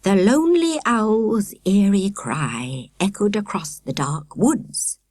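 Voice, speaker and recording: Woman acting hushed, trembling campfire storyteller, a man. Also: high voice, animated, no echo, studio quality, exaggerated voice. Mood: spooky, hushed. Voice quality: trembling, hushed, breathy when telling. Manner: slow, drawn-out, melodramatic. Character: genial, theatrical scout leader spinning a spooky campfire tale.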